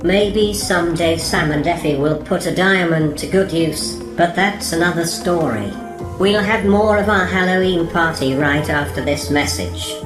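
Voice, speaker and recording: A woman's voice: on the low side, measured pace. A woman reading scripted host links in a program, with a roomy echo. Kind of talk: scripted hosting narration. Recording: roomy echo over music.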